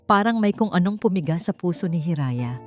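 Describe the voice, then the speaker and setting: A woman's voice: on the low side, lively intonation, fairly quick. A woman with a Filipino accent reading a scripted story as an audiobook narrator. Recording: music bed under the voice. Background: background music.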